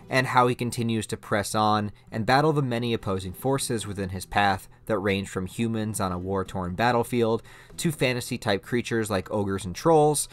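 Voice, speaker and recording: A man hosting a video essay, explaining things in an emphatic, conversational way with scripted commentary. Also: medium pitch. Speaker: video host reviewing anime.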